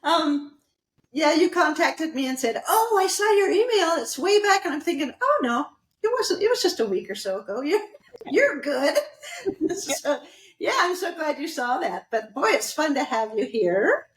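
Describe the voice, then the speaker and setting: A woman's voice: high-pitched, very animated, moderate pace, echoey room, a little hiss or hum, rough recording. A woman hosting a podcast, chatting casually and upbeat with a guest, often laughing. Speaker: podcast host. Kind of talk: casual interview chat.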